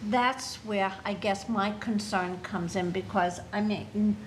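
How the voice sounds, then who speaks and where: An older woman with a New York accent raises her concerns at a public meeting. She speaks in an earnest, halting way, full of fillers and restarts, at a mid pitch. A faint hiss sits under her voice. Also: fairly even intonation. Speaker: alderman on a city committee.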